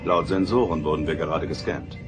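A man's voice: rumbling low, fairly quick, over loud music. A man playing a dutiful, alert starship security officer in an audio drama, reporting formally and tersely. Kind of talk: German science fiction audio drama acting.

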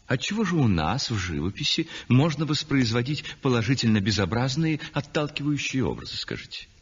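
Smug aesthete painter, 1960s radio play. Husky, highly varied intonation, declamatory and dismissive. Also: male voice, very animated, brisk. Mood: indignant, scornful. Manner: declamatory, rhetorical, self-assured. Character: self-satisfied aesthete male landscape painter, dismissive of ugly art. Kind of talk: 1960s radio drama monologue. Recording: muffled 1960s radio recording, long reverb.

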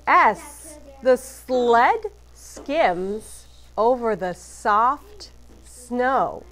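A woman teacher reading a picture book aloud to children, deliberately emphasizing letters and phrases. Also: a little high, very animated, fairly bright tone.

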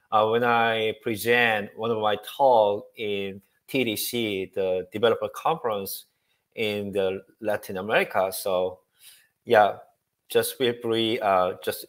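Casting: upbeat presenter, man, forties, halting delivery full of fillers, headset mic on a video call. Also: lowish voice. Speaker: guest speaker. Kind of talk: technical presentation. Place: home room.

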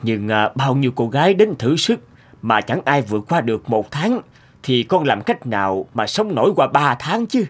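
A man voicing a gentle, worried old peasant father in a cartoon folk tale. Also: mid-range voice, big pitch swings, normal speed. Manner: gentle, doubtful.

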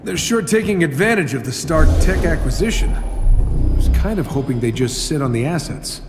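An actor playing a cocky, wisecracking armored superhero inventor in a video game, with an American accent and glib, sarcastic banter. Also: male voice, on the high side, animated, moderate pace. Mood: breezy, smug. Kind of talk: cinematic video game voice acting. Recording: loud music behind the voice.

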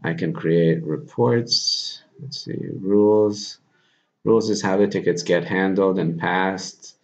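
A man in his thirties presenting a software tutorial informally, thinking aloud as he demonstrates at his computer. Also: sits low, steady tone, slow pace. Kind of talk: casual software screencast tutorial. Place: small plain room indoors. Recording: dull lo-fi audio, background noise.

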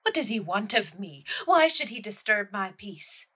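A woman's voice: medium pitch, sing-song, normal speed, sharp tone, breathy edge, exaggerated voice. A woman playing a young grieving widow in an audio play, haughty and self-dramatizing, declaiming with tragic, imperious airs. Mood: annoyed. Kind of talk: theatrical audio play acting.

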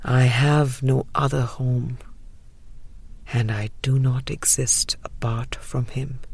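A woman reading a spiritual audiobook in a breathy voice that trails into whispery phrase endings, with a measured, gentle, meditative delivery. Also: extremely low pitch. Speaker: audiobook reader. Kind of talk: scripted spiritual audiobook narration.

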